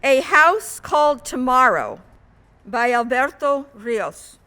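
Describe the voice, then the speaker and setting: A woman delivers a sermon in a high, bright voice. Her pace is slow and deliberate, with measured phrases and clear emphasis on key words. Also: very animated. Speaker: guest minister preaching.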